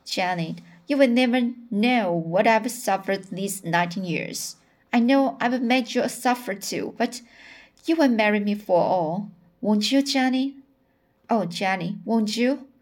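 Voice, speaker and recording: A woman acts out a long-suffering middle-aged suitor from a storybook. The pleading is anguished and halting, delivered slowly. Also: mid-range voice, natural voice. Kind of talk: storybook reading.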